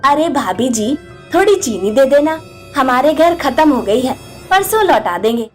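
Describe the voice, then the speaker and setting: A woman's voice: high voice, sing-song, measured pace, soft background music. A woman voicing an envious, nagging village neighbour in a storybook tale. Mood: friendly.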